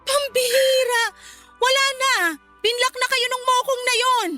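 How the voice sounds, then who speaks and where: Really high young voice, devoted worried grown kid in a radio drama, earnest, music underneath. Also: female voice, little pitch movement, measured pace, bright, forceful tone, exaggerated voice. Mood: exasperated. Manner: earnest, emotional, respectful. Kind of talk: Tagalog radio drama acting.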